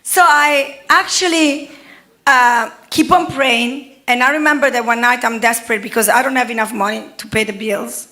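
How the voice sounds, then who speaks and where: A woman in her forties with an Italian accent tells her testimony at a church service. Her high, bright voice carries a lively storyteller's manner, and she laughs often as she talks. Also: moderate pace. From Italy. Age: 40s. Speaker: guest preacher. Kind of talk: personal testimony sermon.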